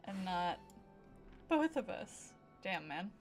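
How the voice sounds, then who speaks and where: A woman's voice: medium pitch, very animated, slow pace. A woman streaming a video game with casual commentary, playfully muttering short asides to herself. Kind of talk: casual live gameplay commentary. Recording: game music under the voice.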